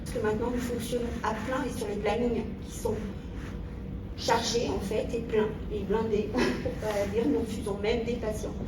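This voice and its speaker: A woman with a fairly high voice presents informally as a health centre staffer. She sounds distant from the microphone, in a roomy space with noticeable noise around her. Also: little pitch movement, normal speed. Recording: roomy echo, distant mic, noisy.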